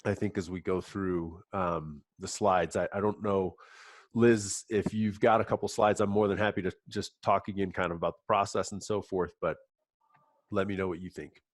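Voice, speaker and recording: A low-pitched man with a cold, his voice stuffed up. He talks haltingly, full of fillers and restarts. The sound is dry and close. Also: fairly even intonation, no room sound. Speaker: app company guest. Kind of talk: webinar presentation.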